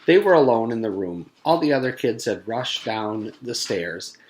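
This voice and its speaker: A man's voice: mid-range voice, very animated, normal speed, a little mellow, a little hiss or hum, poor audio. A man, a teacher, reading a storybook aloud.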